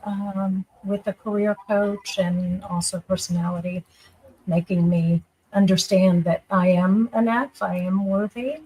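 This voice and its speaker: A woman with a medium-pitched voice speaks as a guest in an informal discussion. She tells a personal story in a reflective, conversational manner. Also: fairly even intonation, unhurried, mellow, husky, rough recording. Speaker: guest panelist.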